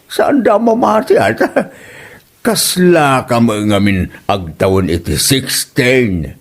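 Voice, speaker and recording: A man's voice: very animated, slow, exaggerated voice. A man playing a playful, teasing father in his fifties in a comedic audio drama. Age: 50s. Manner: playful teasing, big pitch swings. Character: teasing doting father, about fifty.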